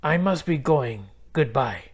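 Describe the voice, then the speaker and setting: A man's voice: mid-range voice, expressive, unhurried, slightly rough. A man voicing a sly, hungry male coyote villain in a children's storybook read-aloud. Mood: snappish.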